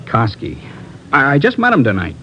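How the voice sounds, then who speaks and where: An actor playing a surly ex-convict suspect in a 1950s radio crime drama, talking tough and sneering. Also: male voice, medium pitch, wildly swooping pitch, normal speed, sharp tone. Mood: nervous. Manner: tough, sneering street talk. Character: surly ex-convict man, defiant then nervous. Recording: dull old radio recording with hiss.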